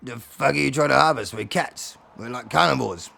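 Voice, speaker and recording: Man doing a grumpy, creaky talking tomcat. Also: medium pitch, moderate pace, pushed and bright, pitched below the performer's own voice, exaggerated voice. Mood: indignant, puzzled. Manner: blunt, scolding, repeating himself. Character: grumpy, indignant male three-legged cat, fussy and sweary.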